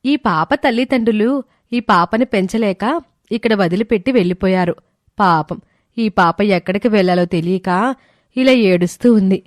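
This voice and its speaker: A woman's voice: medium pitch, moderate pace, no room sound, clean studio sound, natural voice. A woman voicing a concerned townswoman, a mother, with a plaintive, persuasive manner in a moral story. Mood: pitying. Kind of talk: storybook dialogue read by narrator.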